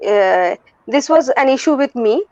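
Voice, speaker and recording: A soft-voiced woman in her forties with an Indian English accent, speaking thoughtfully as an interview guest with many pauses and restarts. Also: high-pitched, expressive, no echo. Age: 40s. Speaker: guest vocalist and music teacher. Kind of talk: candid conversational interview. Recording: dull lo-fi dry recording.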